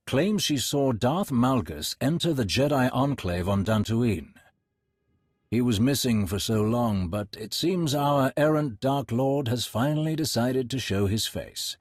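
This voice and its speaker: An actor playing a suave, courteous, scheming imperial agent in a video game, speaking in a smooth-mannered, formal, theatrical way. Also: male voice, mid-range voice, a little mellow, no echo, studio quality. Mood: measured briefing.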